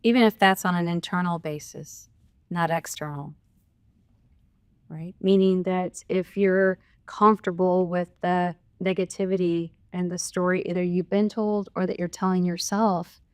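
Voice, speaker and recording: Smooth low-voiced woman co-host. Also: fairly even intonation, measured pace, a little mellow, no echo. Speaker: podcast co-host. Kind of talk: podcast interview.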